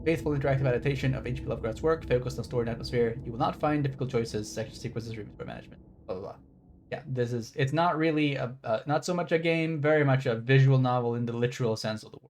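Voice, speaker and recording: A man's voice: medium pitch, rapid-fire, darkish tone. A man streaming a game playthrough, rambling with wry asides and reading on-screen text aloud. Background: game music.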